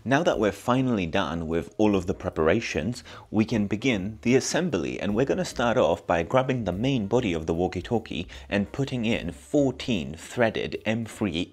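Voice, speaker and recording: A man in his thirties giving an informal, self-deprecating DIY tutorial in a casual narrating style. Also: on the low side. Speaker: maker presenting a DIY build.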